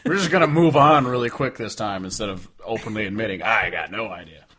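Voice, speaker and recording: A man's voice: fairly low pitch, a little fast, sharp tone. A man co-hosting a music podcast in casual, joking talk with plenty of swearing. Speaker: podcast co-host.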